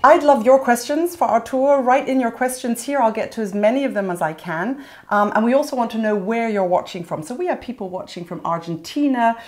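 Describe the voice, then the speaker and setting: A woman's voice: a little high, fairly quick, few pauses, darkish tone. A woman with a British accent hosting a live online interview over a video call, bubbly and enthusiastic, laughing often. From born in America, British mother. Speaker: show host, horn player. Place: indoors, live video hangout.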